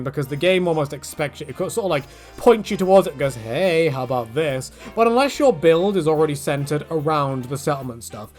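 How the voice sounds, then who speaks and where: A man talking through fan questions in a loose, rambling Q&A, his voice sitting a little high for a man. He leaps up in pitch for quick asides and jokes. Soft music plays faintly underneath. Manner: rambling and animated, jumping pitch for quoted asides. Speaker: YouTube creator answering fan questions. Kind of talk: casual Q&A monologue.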